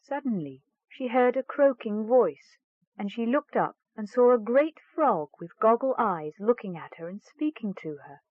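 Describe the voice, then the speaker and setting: A woman's voice: on the high side, animated, moderate pace, dry recording. A woman reading a fairy tale aloud as a storyteller, in scripted narration. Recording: narrow, phone-like band.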